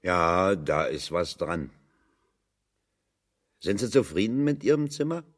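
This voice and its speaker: Radio play: earthy working-class man, extremely deep voice, sly and plain-spoken. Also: sing-song, takes it slowly, full character voice. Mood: dryly needling. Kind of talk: German radio drama dialogue.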